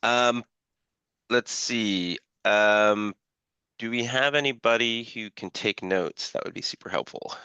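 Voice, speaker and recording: A man chairing an online working-group call, his voice a little scratchy from allergies, talking haltingly with many fillers. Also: fairly low pitch, bright, forceful tone, clear, resonant tone, no room sound. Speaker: meeting chair. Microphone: video call. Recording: dull lo-fi call audio.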